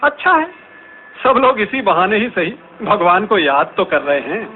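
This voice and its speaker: Actor as anxious wandering man, high animated voice. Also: loud music behind the voice. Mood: troubled musing. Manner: theatrical, halting, wondering to himself. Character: anxious lone man wandering and musing aloud. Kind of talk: radio drama monologue. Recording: tinny noisy narrow-band radio recording.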